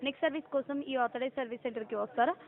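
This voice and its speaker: A woman's voice: high-pitched, racing. A woman working as a customer care caller, reading a scripted survey formally and mechanically on a muffled phone recording. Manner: robotic, formal, rote reading of options. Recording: muffled, band-limited phone call recording.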